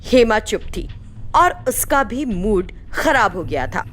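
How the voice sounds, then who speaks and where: A woman's voice: medium pitch, big pitch swings, takes it slowly, fairly bright tone. A woman storyteller reading a scripted moral tale as narrator.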